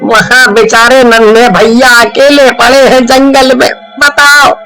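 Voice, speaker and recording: A woman voicing excitable, bickering village men in a cartoon, talking in a drawn-out, exclamatory way. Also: on the high side, over loud music, pitched above the performer's own voice, poor audio. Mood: complaining.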